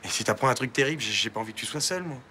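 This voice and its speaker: A worried, protective man talks very fast at a middle pitch. He is anxious and hesitant, stumbling over his words in a TV soap scene. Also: expressive, bright, forceful tone, a little hiss or hum. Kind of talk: soap opera acting.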